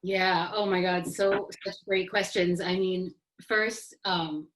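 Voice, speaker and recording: Lowish-voiced woman author, casual and emphatic. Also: fairly even intonation, slow pace, a bit bright, rough recording. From Boston area, United States. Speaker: author and panelist. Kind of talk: casual panel conversation.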